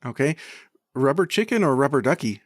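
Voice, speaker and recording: A man's voice: medium pitch, big pitch swings, brisk, dry recording, studio quality. A man hosting a podcast, chatting casually as he interviews guests.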